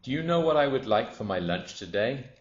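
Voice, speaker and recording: A man's voice: medium pitch, very animated, a little fast, voice pushed up, natural voice. Man voicing an enormous, greedy, boastful storybook crocodile. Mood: relishing. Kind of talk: children's storybook character reading. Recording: roomy, dull lo-fi sound.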